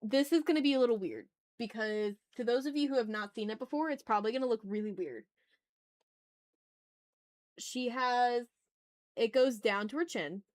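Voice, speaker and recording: A woman's voice: fairly high pitch, normal speed, pauses often, no echo, clean studio sound. A woman livestreaming as she draws, chatting casually in slang and muttering to herself with playfully dramatic, exasperated asides. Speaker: art livestreamer, host.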